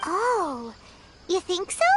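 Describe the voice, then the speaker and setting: A woman voicing a tiny, childlike, excitable and easily spooked companion in a video game, with a squeaky voice and exclamatory delivery. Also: takes it slowly, background noise, full character voice. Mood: puzzled. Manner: refers to self in third person, exclamatory. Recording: background music under the voice.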